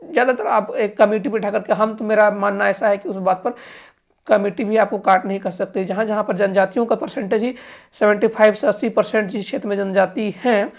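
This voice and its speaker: A woman's voice: medium pitch, fairly even intonation, fairly quick, vocal fry. A woman giving informal political commentary, emphatic and often restating her points. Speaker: news commentator. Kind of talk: informal political commentary and explainer, addressing government directly.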